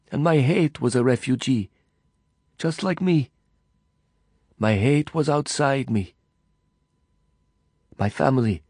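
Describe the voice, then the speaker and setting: A man narrating an audiobook voices a bitter refugee consumed by hate, speaking with quiet feverish zeal and American vowels touched by Arabic. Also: medium pitch, breathy edge, dry recording, studio quality, voice pushed up. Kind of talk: audiobook dialogue reading.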